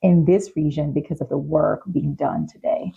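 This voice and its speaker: Mellow woman talk show host. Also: deep voice, steady tone, moderate pace, creaky, breathy edge, lo-fi sound. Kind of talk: interview hosting.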